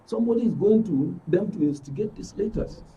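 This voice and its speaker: A middle-aged man with a Nigerian accent speaks as an interview guest, his voice husky and fairly high. He sits some distance from the microphone. He lays out his account earnestly, leaning on repeated phrases. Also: animated, a little fast, mellow. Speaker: studio guest, aggrieved club member. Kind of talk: spoken account in a broadcast interview. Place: radio and TV studio.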